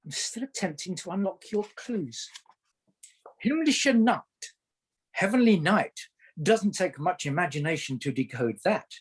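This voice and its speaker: A man acting a sly, teasing gentleman satirist in a radio play, with a husky voice and droll delivery. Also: high-pitched, animated, measured pace, heavily acted. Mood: sly, needling.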